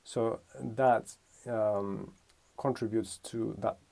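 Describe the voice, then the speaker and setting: A man's voice: on the low side, steady tone, drawn-out words, creaky, warm tone. A man from Romania giving a casual music lesson, thinking aloud and correcting himself as he explains. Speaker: music teacher.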